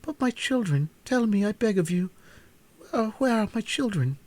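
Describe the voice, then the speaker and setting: A man's voice: very high voice, unhurried, a little mellow, slight background noise, pitched above the performer's own voice. A man voicing a proud, stately queen and mother who speaks in a formal, old-fashioned way. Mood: anxious, proud.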